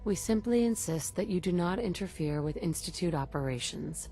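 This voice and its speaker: A diplomatic video game heroine with a somewhat low voice. She sounds composed, reassuring and controlled, with faint music behind her. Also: expressive, normal speed, mellow. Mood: solemn, proclaiming. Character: composed, diplomatic heroine, incoming director of a secret institute.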